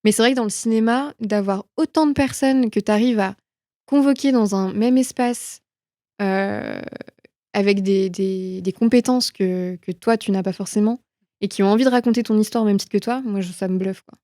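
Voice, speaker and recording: A young woman speaks with a mellow, soft-edged tone in a very dry, close acoustic. She is an interview guest chatting informally and reflectively about her own life, reworking her phrases as she thinks them through. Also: mid-range voice, normal speed, dry recording. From France. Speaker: interview guest, film production manager. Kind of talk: casual conversational interview, personal anecdotes.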